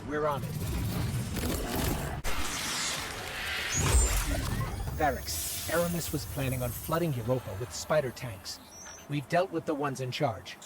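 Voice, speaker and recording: An actor playing an earnest, watchful companion guide in a video game, articulate, conversational and concerned. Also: male voice, medium pitch, animated, normal speed, close to an everyday voice. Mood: businesslike. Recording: clear ambient noise behind. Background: ambient noise.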